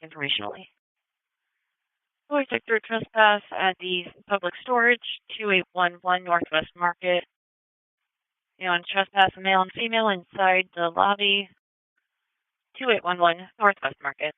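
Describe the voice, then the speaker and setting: A woman's voice: fairly low pitch, moderate pace, long pauses, clean tone, no echo. A woman working as an emergency dispatcher, reading out procedural details in a clipped, methodical way over police radio. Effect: police radio transmission. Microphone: radio dispatch microphone. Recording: narrow-band police scanner radio.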